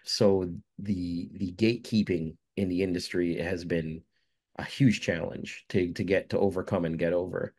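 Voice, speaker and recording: Casting: very low-voiced young man from jamaica, rambling interview guest. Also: steady tone, normal speed, a little mellow. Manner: rambling, many fillers and restarts. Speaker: filmmaker guest. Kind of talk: casual interview answers.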